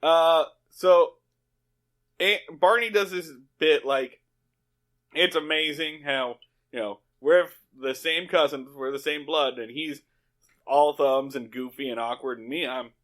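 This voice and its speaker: A man's voice: on the high side, a bit bright. A man co-hosting a podcast in casual, comedic chat, swearing freely and slipping into paraphrased dialogue. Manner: profane, slangy, acts out paraphrased dialogue. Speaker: podcast co-host. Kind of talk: casual comedic chat recapping an old TV show.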